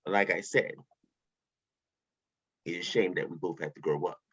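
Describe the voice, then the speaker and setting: An actor voicing a smug, flippant villain mastermind in a table read, boastful and wisecracking, with a husky voice. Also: deep voice, measured pace, a bit bright, full character voice. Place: remote online table read. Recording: dull lo-fi call audio.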